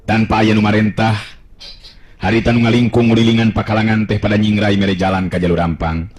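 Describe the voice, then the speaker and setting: A man's voice: sits low, a little mellow, slight background noise. A man with a Sundanese accent telling a folktale as a dramatic narrator. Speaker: storyteller.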